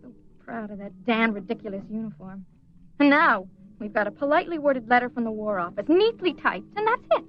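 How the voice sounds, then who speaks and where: A woman's voice: fairly high pitch, lively intonation, fairly quick, muffled recording, natural voice. An actress playing a grieving, anxious young woman haunted by a voice, in a radio drama. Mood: bitter grief.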